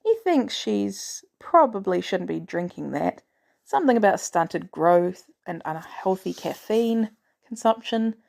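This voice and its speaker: A woman's voice: mid-range voice, animated, unhurried, gravelly, a little mellow. A woman reading a scripted story aloud as a narrator. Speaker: audiobook narrator of fan fiction.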